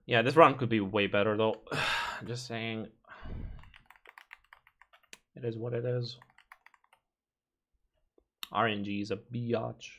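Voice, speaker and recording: A man's voice: lowish voice, fairly even intonation, takes it slowly, pauses often, a bit bright. A Romanian man who sounds American, casually commentating his speedrun and chatting with viewers, easily exasperated. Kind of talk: casual live commentary over gameplay.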